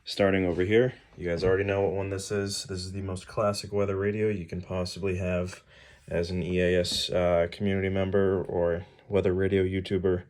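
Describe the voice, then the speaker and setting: Very low-voiced man, casual. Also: flat delivery, fairly quick, darkish tone. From New England, United States. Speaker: hobbyist video host. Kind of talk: casual show-and-tell product rundown.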